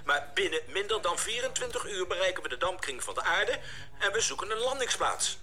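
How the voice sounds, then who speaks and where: Male space captain, radio play: courteous, self-possessed, piercingly bright, animated pitch. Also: brisk, full character voice. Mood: composed, formal.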